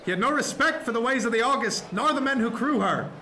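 A man's voice: extremely high pitch, expressive, fast talker, full character voice. An actor playing a defiant, commanding first mate in a radio drama, delivering earnest oratory to his crew. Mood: rousing. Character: defiant mutinous first mate, a commanding male ship's officer. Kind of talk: serial radio drama acting. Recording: noisy scene with loud crowd behind.

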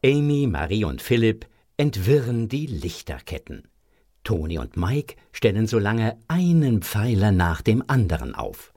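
Low, husky male storyteller. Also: sing-song, unhurried, warm tone. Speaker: narrator. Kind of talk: scripted children's audio drama narration.

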